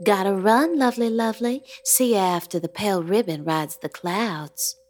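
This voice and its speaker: A woman's voice: mid-range voice, moderate pace, a little mellow, close to an everyday voice. An actress playing an eccentric, sweet-talking yet sinister young woman with an affected, folksy dialect in a horror comedy radio play. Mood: breezy, odd. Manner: gushing, repeats words, quirky endearments.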